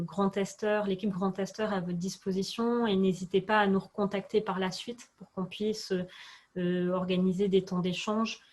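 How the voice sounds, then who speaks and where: A woman's voice: mid-range voice, a little mellow. A woman moderating a webinar in a semi-formal way, often searching for her words.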